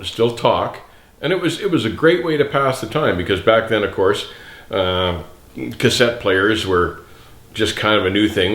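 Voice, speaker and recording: A middle-aged man, a veteran trucker, reminiscing casually and nostalgically to viewers in a plain-spoken, folksy way. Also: lowish voice. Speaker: veteran trucker and video host. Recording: roomy, echoey sound.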